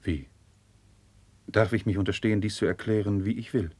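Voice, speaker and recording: A low-voiced, courtly marquis. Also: male voice, steady tone, darkish tone, slightly rough, dry recording. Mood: courtly, veiled. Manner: courtly, deliberate stage diction. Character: noble Spanish marquis, courtly, discreet, earnest. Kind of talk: 1950s German classical radio drama acting.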